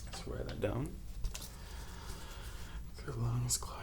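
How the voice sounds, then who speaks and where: A man speaks softly and gently as a doctor in an ASMR medical roleplay, reassuring and unhurried, at times dropping toward a whisper. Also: medium pitch, noisy background. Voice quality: soft, hushed, at times whispered. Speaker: ASMR performer playing a doctor.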